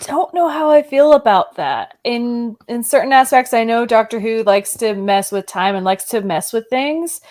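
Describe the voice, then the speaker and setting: A woman guest on a casual podcast, candid with her opinions and quick to laugh. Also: on the high side, lively intonation, a little mellow.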